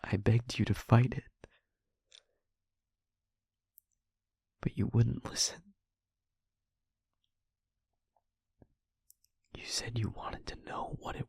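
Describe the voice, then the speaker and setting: Man voicing a breathy, whispering, haunted demon. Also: medium pitch, darkish tone, dry recording. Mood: anguished. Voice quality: breathy, husky near-whisper with gravelly edge. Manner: slow, halting, solemn delivery in short phrases. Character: wounded, haunted male demon confessing with tender sorrow. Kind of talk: intimate audio roleplay, dramatic monologue.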